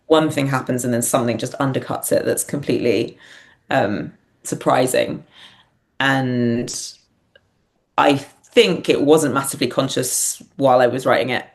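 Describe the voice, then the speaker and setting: A woman's voice: extremely low pitch, normal speed. A woman speaking casually as an interview guest, reflective and thinking aloud as she answers. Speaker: podcast guest, writer and performer.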